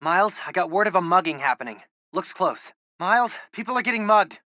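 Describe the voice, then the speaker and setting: A man's voice: high voice, animated, brisk, bright, forceful tone, dry recording, studio quality. A young American man voicing an eager, geeky teenage boy, a loyal tech sidekick in a video game, informal and earnest. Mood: alert, reporting.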